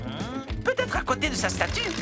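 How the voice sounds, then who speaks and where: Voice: high-pitched, wildly swooping pitch, moderate pace. A voice actor playing an eager, impulsive, childlike talking digger in a French children's cartoon, exclaiming earnestly. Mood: eager, curious. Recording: loud music under the voice.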